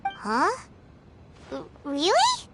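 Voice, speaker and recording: A woman voicing a small, cheeky, excitable fairy companion in a game, squeaky and childlike, blunt and exclamatory. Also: extremely slow, background noise, heavily acted. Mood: surprised. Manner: exclamatory, speaks of self in third person. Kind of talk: animated game voice acting. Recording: music playing behind the voice.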